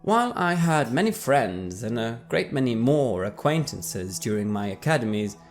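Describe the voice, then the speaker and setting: A man with a mid-range voice narrates his character's past in the first person. His reading is measured and steady, like a storyteller. Soft music sits faintly behind him. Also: mid-range voice. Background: faint background music.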